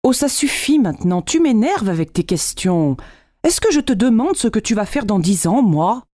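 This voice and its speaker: A woman with a fairly high, mellow voice reads everyday dialogue lines for learners. Her delivery is clear and deliberate. The close recording is dry and free of room sound. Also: big pitch swings, no echo.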